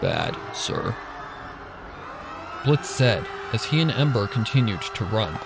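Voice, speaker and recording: A man's voice: medium pitch, animated, moderate pace, noisy background. A man reading scripted fan fiction as a dramatic narrator. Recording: loud music and effects behind. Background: loud music.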